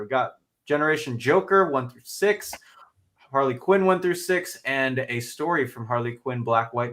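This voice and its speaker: A man's voice: mid-range voice, sharp tone. A man who hosts a comics podcast, reading out book details and moving the discussion on. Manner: brisk, moves the show along. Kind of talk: casual chat, reading product details.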